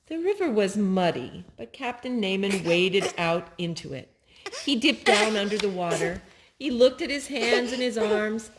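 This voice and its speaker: Older woman reading slowly, with animated pitch. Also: slight background noise. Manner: simple, deliberate phrasing with repetition for young listeners. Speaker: storyteller. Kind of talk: children's story read-aloud.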